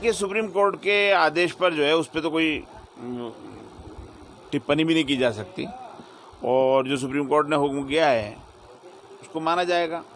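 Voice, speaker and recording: A man in an interview speaking slowly, pausing between listed words. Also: a little high, fairly bright tone, faint music behind. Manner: slow, listing his words with pauses. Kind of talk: street interview.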